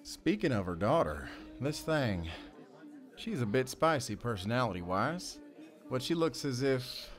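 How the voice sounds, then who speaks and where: A man's voice: mid-range voice, big pitch swings, unhurried, soft background music. Folksy, rambling young man played by an actor. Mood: wry, rambling. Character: wry, rambling young ranch-hand newcomer phoning his mother. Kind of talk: audio drama acting.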